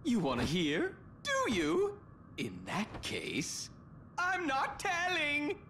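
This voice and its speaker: An actor voicing a mad, nihilistic clown villain in a video game, theatrical and mocking, swinging from singsong to shrieks. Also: male voice, very high voice, wildly swooping pitch, slow pace, slight background noise, exaggerated voice. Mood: taunting, playful. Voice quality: creaky, cackling. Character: manic nihilistic male mad clown villain obsessed with destruction. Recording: loud music under the voice.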